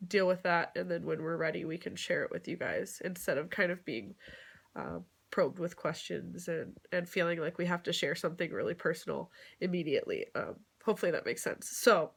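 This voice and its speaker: A woman's voice: fairly low pitch, lively intonation, fairly quick, vocal fry, husky. A young woman with a cold, sounding stuffed up, chatting casually to her vlog viewers with lots of fillers. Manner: chatty, many fillers, occasional laughs. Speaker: vlogger.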